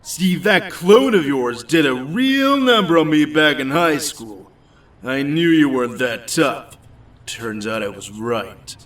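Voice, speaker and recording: A man's voice: fairly high pitch, big pitch swings, takes it slowly, fairly bright tone, recorded from a distance, heavily acted. A man playing a hot-headed, vengeful young bully in an audio drama, taunting and cowardly underneath. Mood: bitter, taunting. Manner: taunting, aggrieved.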